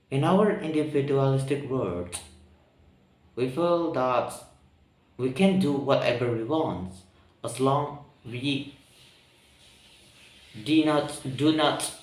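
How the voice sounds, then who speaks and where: A man with an Indonesian accent, speaking English as a second language, talking slowly and haltingly, searching for words with many pauses and ums. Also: mid-range voice. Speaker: vlogger practicing spoken English. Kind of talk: reflective solo monologue. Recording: roomy echo, mic at a distance.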